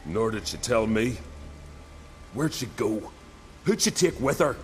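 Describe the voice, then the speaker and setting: Earnest young island warrior. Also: male voice, on the low side, big pitch swings, unhurried, slight background noise. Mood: alarmed, indignant. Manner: earnest, colloquial storytelling. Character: loyal, hot-headed young Norse-style island warrior. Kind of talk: dramatic video game voice acting.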